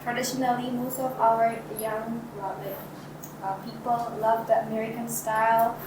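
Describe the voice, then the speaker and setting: A woman's voice: a little high, little pitch movement, normal speed. A woman who works as a teacher, giving short, hesitant answers in class. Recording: echoey room, clear background noise.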